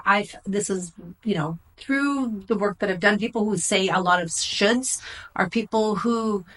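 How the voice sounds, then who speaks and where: A woman's voice: on the low side, fairly bright tone, low-quality recording. Chatty middle-aged woman therapist. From Greek family background. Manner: chatty, repetitive, says "you know" and "right" often. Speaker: therapist guest. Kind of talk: casual interview conversation.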